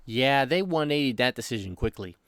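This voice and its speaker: A man delivers a scripted comedic review as a voice-over. His delivery is playful and exaggerated, at a medium pitch, with music clearly playing behind him. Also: lively intonation, brisk, fairly bright tone. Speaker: video game reviewer. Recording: background music under the voice.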